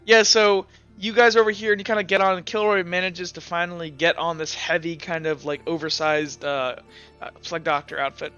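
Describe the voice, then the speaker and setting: A man's voice: fairly high pitch, fairly even intonation, a little fast. A man improvising narration as a tabletop game master, in hesitant, piecemeal bursts full of fillers. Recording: dull audio, faint music behind. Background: faint music.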